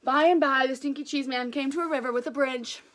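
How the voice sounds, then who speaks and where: A woman reading aloud as a storyteller, casual and chatty, with an enthusiastic, expressive delivery. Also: sits high, brisk, slight background noise.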